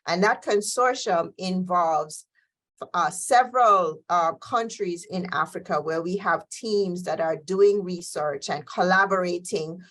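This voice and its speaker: A middle-aged woman from Jamaica, a guest scientist answering interview questions in a measured way with frequent fillers. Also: lowish voice, fairly bright tone.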